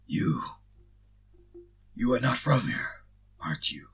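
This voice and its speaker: Man acting out a rough, battle-hardened wounded warrior. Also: medium pitch, very animated, takes it slowly, fairly bright tone, full character voice. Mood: weak, grateful.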